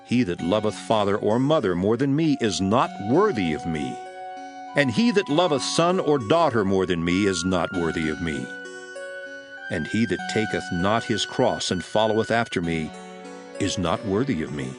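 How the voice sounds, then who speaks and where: An actor playing a solemn, authoritative religious master who exhorts his disciples earnestly in a measured, declamatory King James scripture drama. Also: fairly low pitch, expressive, close to an everyday voice. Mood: earnest, exhorting. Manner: measured, declamatory, emphatic preaching delivery. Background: music behind the voice.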